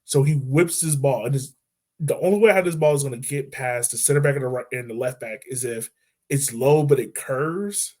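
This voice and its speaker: A man co-hosting a podcast, talking football in a loose, animated way with lots of fillers and restarts. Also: medium pitch, normal speed. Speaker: podcast co-host. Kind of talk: casual sports chat.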